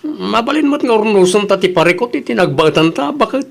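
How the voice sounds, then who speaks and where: A man's voice: sits high, animated, fairly quick, gravelly. A man acts a penny-pinching, demanding husband in an Ilocano audio drama. He has an Ilocano accent and a complaining, controlling way of talking. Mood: challenging. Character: stingy, demanding husband Daniel, turning anxious.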